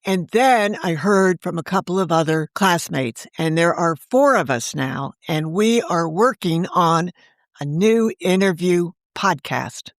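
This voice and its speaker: A woman in her sixties, a novelist guest on a remote interview, talking in a chatty, animated way and often jumping in over the host. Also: lowish voice, a little slow, no echo, clean studio sound. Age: 60s. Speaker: novelist and podcast creator, guest. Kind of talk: casual interview chat.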